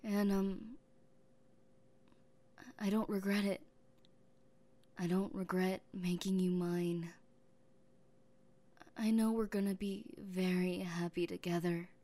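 A lovesick young woman who holds the one she loves captive, tender and possessive all at once, as a woman acts her out in an audio roleplay. She talks very slowly in a mellow, softened tone, halting between phrases and often sinking into a hushed whisper. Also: medium pitch, steady tone, natural voice. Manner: soft-spoken, halting, drifting into whispers.

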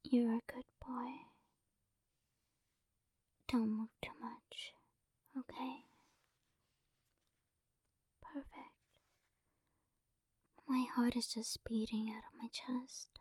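A woman doing a whispered romantic ASMR roleplay, speaking softly and coaxingly, close to the microphone. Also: fairly high pitch, flat delivery, very slow, pauses often, no room sound. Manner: soft breathy whispering, affectionate and coaxing. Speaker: ASMR performer.

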